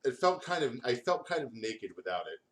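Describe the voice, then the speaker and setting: A man's voice: medium pitch, very animated, brisk, fairly bright tone. A man doing a comedic read-aloud of fanfiction, stumbling over words and breaking off to comment. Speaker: fanfiction reader on a gaming show.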